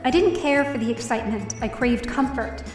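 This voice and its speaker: An actress voices a worried daughter telling her story, reflective and subdued, in a fairly high voice. It is a scripted audio drama, heard in a roomy space a bit away from the mic. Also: animated, a little fast, close to an everyday voice. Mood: uneasy, subdued. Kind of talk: first-person scripted narration in audio drama. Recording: roomy, distant mic, faint music behind.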